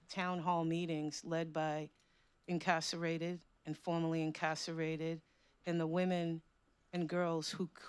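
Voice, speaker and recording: A woman's voice: low-pitched, smooth. A woman panelist speaking as an organizer, fervent and emphatic, repeating words for stress. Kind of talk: panel talk.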